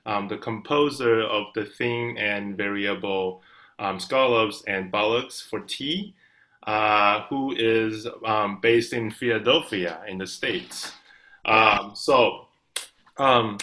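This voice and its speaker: A man speaking haltingly and politely as he introduces an interview and asks questions. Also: fairly low pitch, bright, forceful tone. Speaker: interviewer, violinist. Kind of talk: informal spoken introduction and questions.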